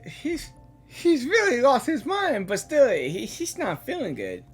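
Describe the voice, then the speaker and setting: A woman's voice: fairly high pitch, huge pitch swings, a little slow. A woman giving spontaneous reaction commentary on a game, exclaiming and repeating her words. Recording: game music behind the voice.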